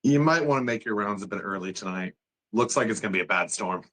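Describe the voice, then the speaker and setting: A man's voice: lowish voice, lively intonation, quick pace, a bit bright, rough recording. A man playing a terse, casual hospital doctor in a screenplay table read, with a clipped, offhand delivery.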